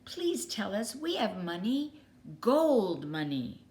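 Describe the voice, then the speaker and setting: Woman doing excited, insistent schoolchildren, pitched up. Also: slow. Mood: pleading. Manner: high, loud, insistent calling. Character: excited, pleading small schoolchildren with a bold tiny boy. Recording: clearly noisy background.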